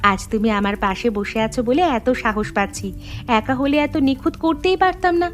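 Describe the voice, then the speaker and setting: A woman voicing a shy, soft-hearted young daughter-in-law in an animated story, earnest and deferential. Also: fairly high pitch, moderate pace, natural voice. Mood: modest, shy. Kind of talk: animated moral story dialogue. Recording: music behind the voice.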